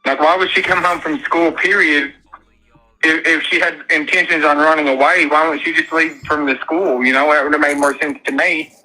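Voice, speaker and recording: A man, a missing girl's father, answering questions on a phone call, hesitant and halting as he talks. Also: fairly high pitch, steady tone, bright, forceful tone. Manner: hesitant, halting, restarts often. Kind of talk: phone call on a live stream.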